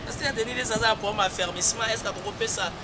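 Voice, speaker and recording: A Congolese man with a notably high voice argues his point emphatically, hammering the same phrases again and again. Heavy traffic roars behind him on an outdoor street. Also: quick pace. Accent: Congolese French. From Congo-Kinshasa. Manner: emphatic, repeats key phrases, mixes in Lingala. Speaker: church spokesperson and political commentator. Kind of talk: heated political debate. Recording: loud street traffic noise.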